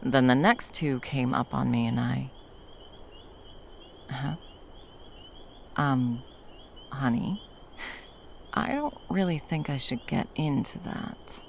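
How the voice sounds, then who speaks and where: A woman playing a protective, tender monster hunter in an intimate ASMR roleplay, speaking haltingly and breathily, often dropping toward a whisper. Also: rumbling low, vocal fry, a little hiss or hum, heavily acted. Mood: grimly matter-of-fact, then reluctant, caring. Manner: halting, coaxing, speaking to a beloved. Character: wandering female monster hunter, fiercely protective, tender lover.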